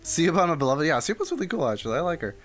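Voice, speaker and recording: A man's voice: on the high side, sing-song, rapid-fire. A man streaming a game, reacting in a casual way with short muttered comments. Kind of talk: casual gameplay reaction chat. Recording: stream commentary over faint game music.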